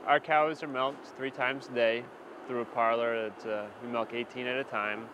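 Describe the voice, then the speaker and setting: Male American farmer, mid-pitched, unpolished and matter-of-fact explainer delivery, recorded with obvious background noise. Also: flat delivery, normal speed, lo-fi sound. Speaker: dairy farmer. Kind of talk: introducing his farm operation. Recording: clear ambient farm noise behind.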